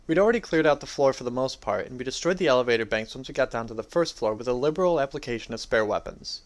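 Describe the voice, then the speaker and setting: A man's voice: medium pitch, animated, fast talker. A young adult man giving a dramatic reading of his own first-person story.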